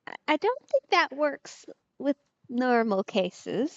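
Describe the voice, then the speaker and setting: A woman's voice: high-pitched, big pitch swings, takes it slowly, dry recording, lo-fi sound. A woman giving casual let's play commentary on a video game, with wry, conversational asides. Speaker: streamer playing a video game.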